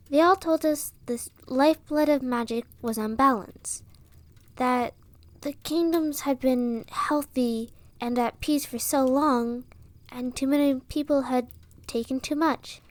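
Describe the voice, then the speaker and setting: A woman playing an earnest, sorrowful young villager and rebel in a fantasy audio roleplay, speaking with dramatic pauses. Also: high-pitched, dry recording. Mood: sorrowful, grave. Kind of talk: audio roleplay acting, storytelling dialogue.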